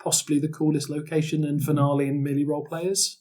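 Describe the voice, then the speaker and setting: A man's voice: a little high, flat delivery, quick pace, a little mellow. A man who co-hosts a podcast, looking back in casual, enthusiastic and emphatic conversation. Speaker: podcast co-host and role-play performer. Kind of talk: casual retrospective chat.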